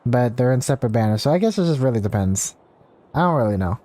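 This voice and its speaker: A man's voice: mid-range voice, expressive, quick pace, dark tone. A man live streaming a video game, chatting casually and rambling as he thinks aloud. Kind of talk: casual gameplay livestream chat, reading game text aloud. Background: game music.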